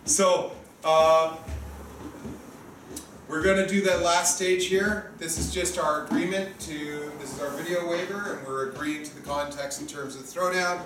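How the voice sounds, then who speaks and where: A man's voice: a little high, fairly even intonation, a little slow, a bit bright. A man in his fifties giving an informal coaching briefing to a group as organizer and referee, often checking in with short tag questions. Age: 50s. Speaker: sparring event organizer and referee. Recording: echoey, distant room recording with noise.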